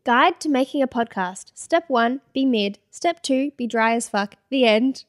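Giggly young woman with a higher voice. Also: expressive, measured pace. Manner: giggly, reads comments aloud with mock voices. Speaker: podcast co-host. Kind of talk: casual chat reading hate comments.

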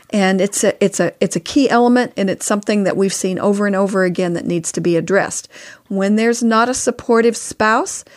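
An older woman talks casually as a podcast guest, sharing advice. Her voice sits at an average pitch for a woman. She comes across as earnest and emphatic, stressing what she believes. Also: darkish tone. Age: older, past sixty-four. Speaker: podcast guest, author and co-host spouse.

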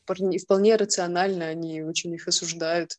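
A woman from Irkutsk, a guest on a casual podcast, speaking in an outspoken, indignant way with hesitant pauses. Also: fairly low pitch, steady tone. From Russia, Irkutsk.

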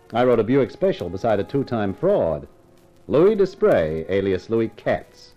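An actor playing a cocky, wisecracking private eye in a 1950s radio detective drama, with a tough, slangy, wry delivery. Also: male voice, on the low side, warm tone, highs cut off. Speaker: lead actor and narrator. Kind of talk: 1950s radio detective drama acting, first-person narration. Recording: noisy vintage 1950s radio recording.

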